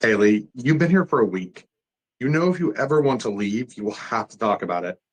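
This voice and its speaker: A man playing a measured, skeptical psychiatrist with a husky voice at a table read. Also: fairly low pitch, expressive. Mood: measured, probing.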